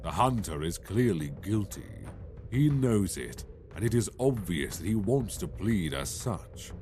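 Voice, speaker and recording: An imperious lord judge played by a man in a fantasy audio drama, cold and formal. The voice is deep and animated, impatient and haughty, over faint music. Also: measured pace.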